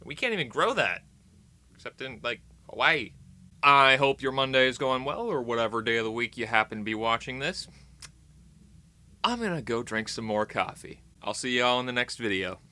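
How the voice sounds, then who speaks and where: Bright, forceful American man vlogging. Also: mid-range voice, lively intonation, normal speed. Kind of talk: casual rambling chat to camera.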